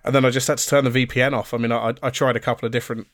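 A man's voice: medium pitch, little pitch movement, racing, fairly bright tone, no echo, studio quality. A man co-hosting a podcast, chatting casually with plenty of ums and restarts while he is losing his voice a little. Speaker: podcast co-host.

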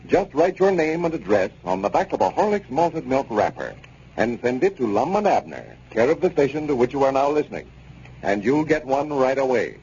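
An American man working as a radio announcer, giving a scripted pitch in a chatty, polished 1930s style. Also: big pitch swings, brisk, a little mellow, lo-fi sound. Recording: noisy, band-limited 1930s radio broadcast.

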